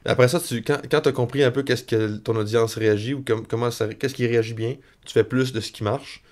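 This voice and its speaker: A man with a Canadian French accent hosting a podcast, easygoing and quick to agree in casual chat. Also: mid-range voice, steady tone, a little mellow. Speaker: podcast host.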